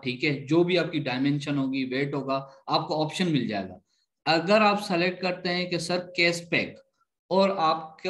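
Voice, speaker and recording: A man with a fairly high voice teaches an online class. He explains things step by step, repeats his points and keeps checking that everyone follows. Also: a bit bright. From Pakistan. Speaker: instructor.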